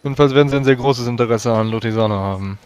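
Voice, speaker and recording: A man role-playing at a tabletop game in formal, measured, courtly speech. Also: mid-range voice, a little mellow. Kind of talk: in-character role-play dialogue.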